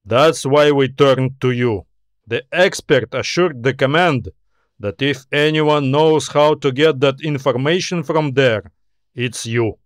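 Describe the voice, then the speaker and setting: A man's voice: mid-range voice, slow, dry recording, natural voice. A man performs a space-fleet admiral for an audiobook, in a dry, close recording. He is confident and practical, speaking with command and a businesslike edge. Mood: formal. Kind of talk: audiobook character dialogue.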